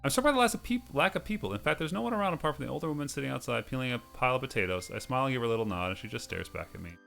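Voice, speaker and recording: A man's voice: mid-range voice, lively intonation, racing, vocal fry, a bit bright, faint music behind. A man hosting a video game playthrough, commenting and reading on-screen text aloud, sometimes stumbling over words.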